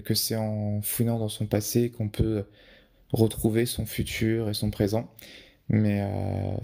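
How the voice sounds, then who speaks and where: A young man with a low, gravelly voice and a mellow, darker tone. He answers interview questions casually, often trailing off and restarting his sentences before finding his point. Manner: hesitant, many restarts and fillers. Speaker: podcast guest, photographer.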